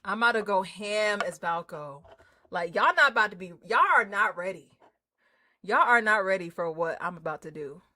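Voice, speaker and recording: A woman livestreamer chatting casually with her viewers in an excitable, slangy, informal way. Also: medium pitch, moderate pace. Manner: excitable, hyped, effusive; slangy, informal, drops swearing in. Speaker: livestreamer hosting a game stream.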